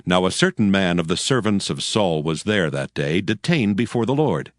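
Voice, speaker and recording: Solemn, expressive low-voiced male narrator. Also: normal speed, no room sound, clean studio sound. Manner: solemn, storytelling. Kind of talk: scripted dramatic scripture narration. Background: music.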